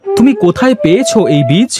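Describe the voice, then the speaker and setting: A man's voice: extremely high pitch, wildly swooping pitch, fast, loud music behind the voice, voice pushed up, heavily acted. Guy doing a worried farmer dad in a kids cartoon, doubtful, voice up and down a lot. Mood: doubting. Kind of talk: children's fairy tale cartoon acting.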